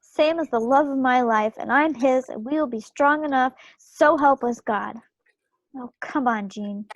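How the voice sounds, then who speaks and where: A woman's voice: high-pitched, measured pace, dry recording. Woman playing a plucky 1940s war wife, muffled call. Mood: defiant. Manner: spirited, folksy, determined. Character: plucky stubborn young war wife, railroad worker, 1940s. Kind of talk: play table reading. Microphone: video call. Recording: muffled video-call audio.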